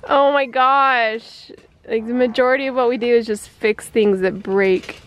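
A woman's voice: fairly high pitch, fairly even intonation, unhurried. A woman in her thirties from the United States, chatting casually to a handheld camera outdoors on a backyard farm, laughing at herself as things go wrong. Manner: chatty, self-deprecating, laughs often. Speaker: homestead vlogger. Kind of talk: casual vlog narration. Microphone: handheld camera at arm's length.

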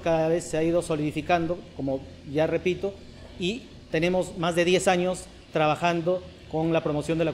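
A middle-aged man speaking earnestly in an outdoor interview, measured and a little halting. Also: a little high, a little hiss or hum. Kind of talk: interview testimony. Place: outdoor terrace by a park.

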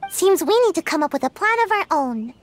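A woman's voice: very high voice, lively intonation, a little fast, slight background noise. An actress playing a small, matter-of-fact young ninja girl with a childlike manner in an anime-style video game. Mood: calm, assured.

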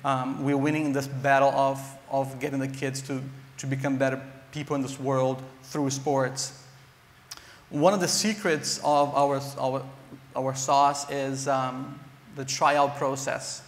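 A man's voice: medium pitch, little pitch movement, a little slow. A man in his thirties from Brazil with a Brazilian accent, speaking earnestly as a panelist on stage with frequent ums and restarts. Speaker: panelist, nonprofit director. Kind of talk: conference panel talk. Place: large conference hall stage. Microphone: lapel mic. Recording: echoey conference hall reverb.

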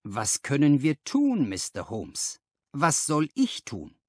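A man's voice: medium pitch, huge pitch swings, slow, darkish tone, dry recording, studio quality, heavily acted. A man voicing a dismayed young clerk in an audiobook, exclaiming and asking anxious questions. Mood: agitated. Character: dismayed, agitated young male clerk seeking help. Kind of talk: audiobook character dialogue.

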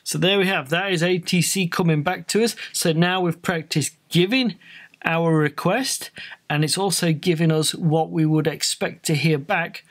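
British guy with a slightly high voice explaining an app, casual how-to style. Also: normal speed. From Britain. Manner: informal, explanatory. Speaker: flight training presenter.

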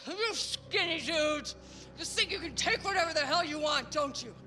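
A woman's voice: sits high, lively intonation, bright, forceful tone, faint music behind. An actress voicing an obese, paranoid, ravenous woman villain in a video game, theatrical, whiny and deranged. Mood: enraged. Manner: wailing, theatrical, drawn-out. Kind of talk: video game cutscene acting.

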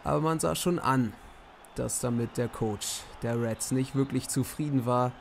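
Hoarse young man, gravelly voice, recaps matches like a commentator, faint noise behind. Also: mid-range voice, unhurried. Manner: lively play-by-play summary. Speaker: host commentating simulated matches.

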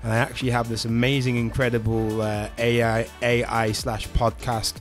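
A man's voice: mid-range voice, little pitch movement, normal speed, soft background music. A man in his thirties, hosting a casual tech vlog in an enthusiastic, chatty way from a home studio on a close desk microphone. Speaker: tech YouTuber host. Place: home studio room with colored lights.

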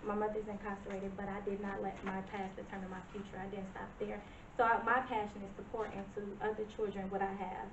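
A woman's voice: medium pitch, little pitch movement, quick pace, few pauses, noisy background. A woman in her thirties introducing herself and a business plan at a village board meeting, earnest and slightly hesitant. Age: 30s. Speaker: business presenter. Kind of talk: public comment at a meeting. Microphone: far camera. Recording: distant echoey lo-fi meeting video.

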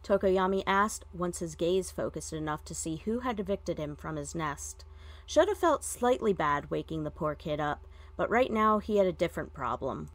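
A woman's voice: lowish voice, lively intonation, moderate pace. A woman reading a story aloud as scripted narration. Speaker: fan fiction podfic reader.

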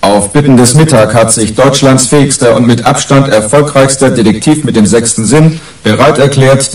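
A man's voice: medium pitch, a little mellow, close to an everyday voice. Casting: a man as the formal, self-important voice of a tabloid article in a radio play, roomy and set back. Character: male voice of a sensational, self-important tabloid report. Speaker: reader of newspaper articles. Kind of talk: formal newspaper read-out in radio drama. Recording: distant, echoey room sound.

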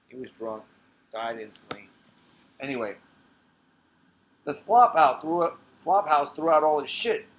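A man's voice: mid-range voice, a bit bright, natural voice, poor audio. Man acting blunt, profane old war veteran. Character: Dom, crude rough-edged uneducated old war veteran. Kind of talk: solo stage monologue reading. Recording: clear background noise.

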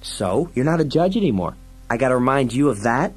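Man voicing an excitable, whiny crook, pitched high. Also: fairly quick, slightly rough. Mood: indignant. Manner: motor-mouthed, complaining, stammering. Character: excitable, whiny male wisecracking crook, aggrieved and panicky. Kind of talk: dramatised audiobook science-fiction dialogue.

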